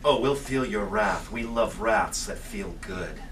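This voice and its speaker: A man's voice: fairly low pitch, measured pace, a bit bright. A man playing a smug, menacing corporate coffee-chain manager in a sitcom table read. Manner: menacing, taunting. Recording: distant camera mic, faint noise.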